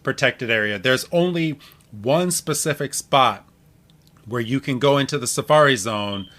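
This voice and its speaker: A man with a bright, forward voice hosts a casual podcast recap. His delivery is halting, with repeated word starts and frequent chuckles, at a middling pitch. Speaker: podcast host. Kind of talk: casual episode recap chat.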